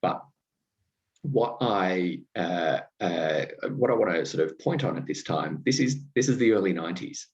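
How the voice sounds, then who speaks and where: Deep-voiced Australian man, halting host. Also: expressive, lo-fi sound. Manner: halting, many fillers and restarts, laughs easily. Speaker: podcast host. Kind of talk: casual interview chat.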